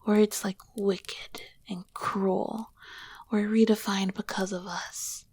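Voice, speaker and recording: A woman playing an ancient, tender, teasing succubus queen in an intimate ASMR roleplay, soft-spoken and often dropping to a whisper. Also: mid-range voice, level tone, slightly rough. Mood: wistful, solemn. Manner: slow, gentle, affectionate. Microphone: close microphone, dry.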